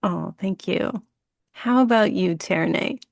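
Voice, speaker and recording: Chatty podcast host lady, bit of vocal fry, dry mic, lots of "like" and "um". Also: lowish voice, measured pace, dry recording. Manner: enthusiastic, chatty with many fillers. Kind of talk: casual podcast chat.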